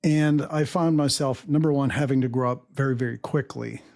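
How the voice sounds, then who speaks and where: A middle-aged man with a gravelly, fry-laden voice at an ordinary pitch, who grew up speaking German at home. He tells his story slowly and reflectively, with plenty of small pauses and fillers. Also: fairly even intonation, darkish tone. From Canada. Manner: measured, reflective, many fillers. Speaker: podcast host and guest. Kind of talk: personal storytelling interview.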